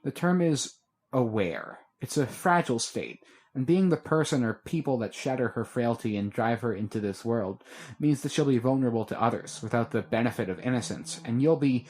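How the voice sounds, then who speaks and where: A man's voice: mid-range voice, animated, moderate pace, a little mellow, husky. A man voicing a weary, guarded young mentor. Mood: earnest.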